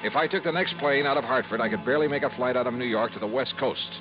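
An actor playing a brash, wry freelance investigator in punchy, hard-boiled 1950s radio style. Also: male voice, mid-range voice, quick pace, music in the background, lightly acted. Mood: urgent, wry. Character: brash, wry freelance insurance investigator narrating his case. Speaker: lead character and narrator. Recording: dull 1950s radio recording.

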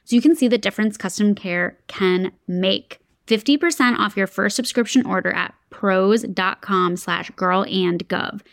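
A woman hosts a podcast with bubbly, effusive energy. She reads the sponsor spot and then greets her guest. Her voice sits at a middle pitch, and the sound is dry with no room echo. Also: measured pace, creaky, hardly any pauses. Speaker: podcast co-host. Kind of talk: upbeat ad read and interview hosting.

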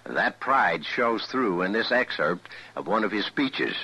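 Forceful, husky male radio narrator. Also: lowish voice, expressive, measured pace, poor audio. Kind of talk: scripted educational narration, old radio.

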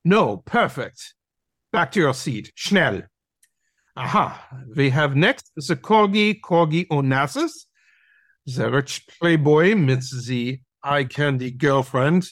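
A man's voice: fairly high pitch, lively intonation, takes it slowly, fairly bright tone, dry recording, clean studio sound, full character voice. A man playing a domineering, mocking dog who teaches a masterclass in an audio play, brusque and commanding, sprinkling in German words. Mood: bossy, mocking.

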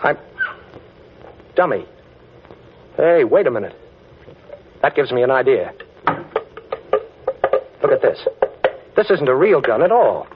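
An actor playing a quick-witted, confident amateur detective in a 1940s radio drama, breezy and wry, on a dull old recording. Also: male voice, medium pitch, sing-song, noisy background. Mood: excited, reasoning.

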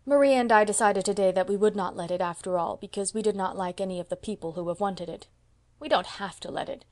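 A woman's voice: mid-range voice, quick pace, a little mellow, lightly acted. A woman voicing a proud, decisive seventy-year-old spinster who owns a house and speaks with emphasis. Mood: emphatic. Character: brisk, proud elderly spinster landlady, 70.

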